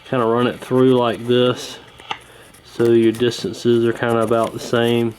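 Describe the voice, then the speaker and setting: A man giving a casual hands-on repair walkthrough, talking in an unhurried, folksy way while he works. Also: medium pitch, completely flat delivery, a little mellow, slight background noise, rough recording. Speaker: host of a radio repair channel.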